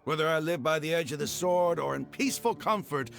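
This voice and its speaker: A man playing an arrogant, zealous desert mercenary leader in a video game, with a gravelly voice and a grandiose, scornful manner. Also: on the high side, a little fast, fairly bright tone, faint music behind. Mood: fervent, defiant.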